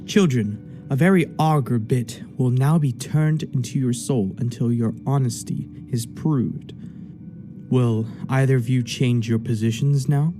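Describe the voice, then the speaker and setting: Music plays behind a man playing a stern magistrate in a radio play. His delivery is measured and slow, at a middling pitch. He speaks formally and with command, like one used to being obeyed. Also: dark tone, natural voice. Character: stern, imperious male judge presiding over an inquiry.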